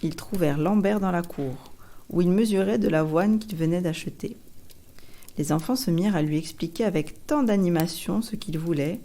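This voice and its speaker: Mellow, lowish female storybook narrator. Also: moderate pace. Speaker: audiobook narrator.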